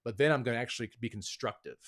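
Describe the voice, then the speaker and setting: A man's voice: mid-range voice, very fast, a bit bright. A man as a podcast guest, explaining his views in a hedging, thoughtful way with many fillers. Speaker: author and guest. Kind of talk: casual interview talk.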